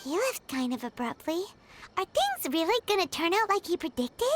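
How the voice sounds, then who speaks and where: A woman voicing a tiny, excitable, childlike fairy companion in a video game, exclamatory and wide-eyed. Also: very high-pitched, moderate pace, very noisy. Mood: curious. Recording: game music behind the voice.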